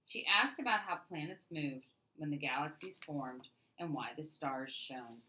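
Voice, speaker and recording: A woman with a bright, crisp-edged voice that sits a little low reads a children's book aloud. She narrates expressively, phrasing deliberately and leaning on key words as a storyteller would. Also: animated, slight background noise, low-quality recording.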